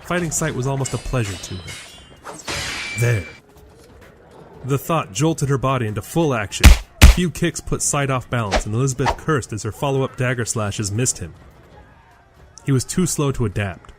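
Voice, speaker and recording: A man narrates an audio drama in a scripted storytelling style, his mid-pitched voice set against prominent music. It is measured prose reading. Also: animated. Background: loud music.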